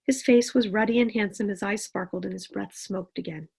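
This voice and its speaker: A woman reading a classic story aloud in an expressive narrating style. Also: medium pitch, fairly quick, gravelly, breathy edge.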